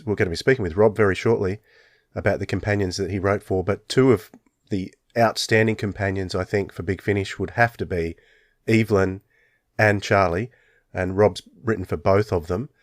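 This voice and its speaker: A man's voice: on the low side, no room sound, clean studio sound. A man hosting a fan podcast in casual, enthusiastic chat. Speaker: podcast host.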